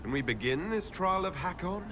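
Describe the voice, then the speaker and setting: A man playing a composed, curious elven leader in a fantasy game, asking questions in a measured, wry way. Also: sits high, animated. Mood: questioning. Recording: clear ambient noise behind voice.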